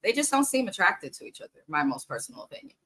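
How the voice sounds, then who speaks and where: A woman's voice: mid-range voice, big pitch swings, fast talker, fairly bright tone. A woman hosting a casual, frank reality TV recap, chatting straight to her viewers and sniffly from allergies. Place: home in the Bay Area.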